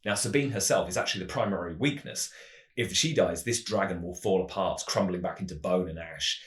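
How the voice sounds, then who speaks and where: Very deep-voiced man in a roomy space. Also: a little fast, a bit bright. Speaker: host, game master giving adventure advice. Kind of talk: tutorial narration of a fantasy roleplaying scenario.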